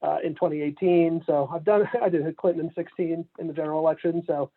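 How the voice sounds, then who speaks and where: A man's voice: fairly high pitch, fast, phone-quality sound. A man around forty, a campaign manager, talking casually in a remote interview, laughing often between his points. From Canada, raised in Atlanta. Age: 40s. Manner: relaxed, laughs often, many fillers.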